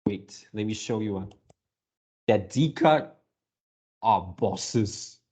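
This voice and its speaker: Male fan commentator, mellow and unhurried, halting delivery that circles back on words. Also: fairly low pitch, expressive, breathy edge. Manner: halting, repeats words and searches for phrasing. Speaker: video creator reacting to a game series. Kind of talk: casual fan reaction commentary.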